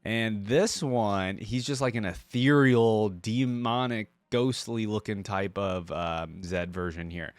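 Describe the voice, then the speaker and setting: A man's voice: lowish voice, fairly even intonation, takes it slowly, hardly any pauses, clean tone, no room sound. A man giving casual reaction commentary as a video host, informal and slangy, thinking aloud as he goes.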